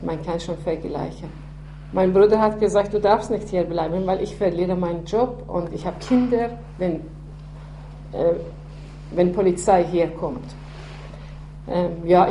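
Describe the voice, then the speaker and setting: A woman in her sixties from Iran, speaking German with an Iranian accent, telling her life story haltingly with many fillers. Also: medium pitch, dark tone. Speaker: interviewee. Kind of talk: personal testimony. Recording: echoey room with faint hum.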